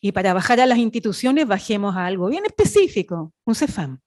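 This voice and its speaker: A woman's voice: medium pitch, big pitch swings, a little fast, a little mellow. A woman with a Chilean accent giving an academic talk as a sociologist, emphatic and didactic, often checking that listeners follow. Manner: emphatic, didactic, with rhetorical questions and check-in tags. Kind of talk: academic lecture, part read and part improvised.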